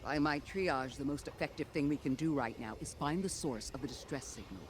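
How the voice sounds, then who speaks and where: An earnest, scholarly guide, played by a man briefing a soldier in a video game. The delivery is articulate and analytical with an urgent edge. Loud ambience and engine rumble sit behind the voice. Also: brisk, lightly acted. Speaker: mission guide. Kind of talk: scripted video game dialogue.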